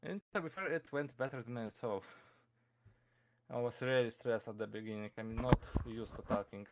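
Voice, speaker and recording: A man's voice: medium pitch, normal speed, a little hiss or hum. A young man with a Polish accent giving a casual streamed talk, answering chat questions with many fillers, restarts and frequent chuckles. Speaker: convention presenter.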